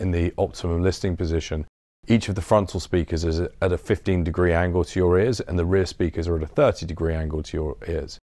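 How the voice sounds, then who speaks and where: A man's voice: low voice, darkish tone, no echo, clean studio sound. A man in his thirties informally explaining products as a company director showing visitors around a showroom. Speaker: business development director giving a showroom tour. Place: large acoustically treated showroom.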